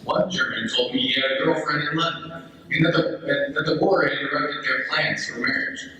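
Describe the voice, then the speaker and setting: A man's voice: fairly high pitch, fairly even intonation, a little fast, lightly acted. A man playing a British soldier who recounts life at the front in a letter, as a readers theater piece. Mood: storytelling. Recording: very echoey room, distant mic, faint noise.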